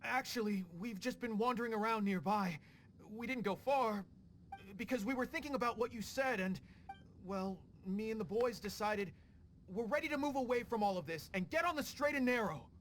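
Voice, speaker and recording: Eager, fawning small-time rogue. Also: male voice, high voice, moderate pace, slight background noise. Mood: excited, eager. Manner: earnest, fawning, excitable, hesitant. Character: eager, deferential male rogue seeking to go straight. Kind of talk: video game voice acting.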